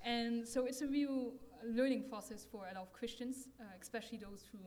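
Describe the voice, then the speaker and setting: A young woman from France presenting her campus ministry work with slides, soft-spoken and pausing to search for words. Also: a little high.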